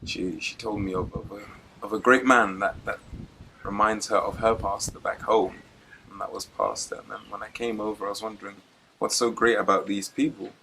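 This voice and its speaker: Low-voiced young man from Zimbabwe. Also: moderate pace, vocal fry, a little hiss or hum, poor audio. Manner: relaxed, reflective, laughs easily. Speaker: guest preacher. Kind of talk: telling his life story in an interview.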